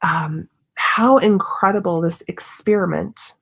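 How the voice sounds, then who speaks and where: An earnest woman with a fairly low voice shares her firm views in a casual conversation. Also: slow pace, creaky, fairly bright tone, husky. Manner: earnest, opinionated. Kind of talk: casual video chat.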